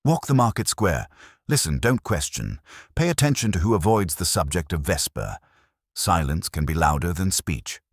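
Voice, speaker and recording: A man's voice: deep voice, big pitch swings, moderate pace, husky, no echo, studio quality, heavily acted. A man voicing a mild, shrewd, unruffled police inspector in an audio mystery, dryly understated. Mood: instructing.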